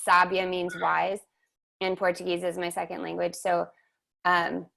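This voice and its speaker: A woman hosting a webinar, speaking in a slow, measured, reflective way over a video call. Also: lowish voice, completely flat delivery, vocal fry, fairly bright tone. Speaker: webinar host and somatic teacher. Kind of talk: reflective guided conversation.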